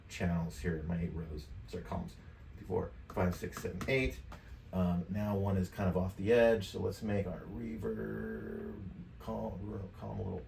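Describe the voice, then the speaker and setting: Man, 50s, low voice, offhand tutorial host thinking aloud; roomy, slightly distant mic. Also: gravelly, a little mellow, a little hiss or hum. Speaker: tutorial presenter. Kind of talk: casual screen-share software tutorial. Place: indoor home studio desk.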